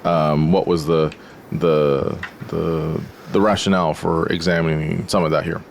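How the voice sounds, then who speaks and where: A man's voice: extremely low pitch, little pitch movement, unhurried, gravelly, a little hiss or hum. A man from the United States hosting an interview podcast, asking loose, rambling questions in a casual way. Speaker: podcast host.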